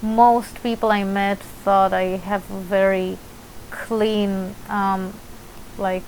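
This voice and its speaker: Young woman, Israeli accent, slow and hesitant delivery with lots of fillers, faint background hiss. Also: medium pitch, flat delivery, darkish tone, rough recording. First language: Russian. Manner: hesitant, many fillers and restarts, light laughter. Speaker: vlogger. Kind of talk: casual vlog monologue.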